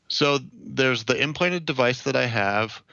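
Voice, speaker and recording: A young American man from Ohio giving measured, explanatory answers as an interview guest. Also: medium pitch, gravelly, sharp tone, no room sound, muffled recording. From Ohio, United States. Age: young adult.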